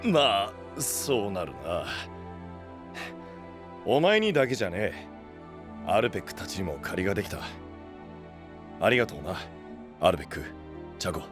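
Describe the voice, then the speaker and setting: An actor playing a brash, fiercely loyal young boss in an anime voice drama, with rough, theatrical speech. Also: male voice, on the low side, big pitch swings, moderate pace, long pauses, over music. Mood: subdued, grateful. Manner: rough delinquent-style speech, theatrical swings.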